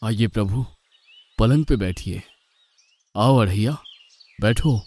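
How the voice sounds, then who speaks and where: Kindly divine lord for a mythological cartoon, lowish male voice actor, patient and gently coaxing. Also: slow pace. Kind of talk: Hindi cartoon mythological acting.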